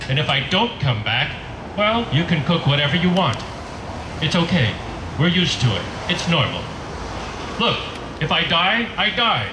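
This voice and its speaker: A man acting a stage monologue as a displaced Syrian-Palestinian dancer, telling his story in halting, repeated short phrases. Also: fairly high pitch, noisy background, close to an everyday voice. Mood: plain, resigned. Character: displaced young Syrian-Palestinian male dancer recounting war. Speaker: actor performing a monologue. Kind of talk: theatrical first-person testimonial monologue. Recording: echoey live performance space with music. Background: music.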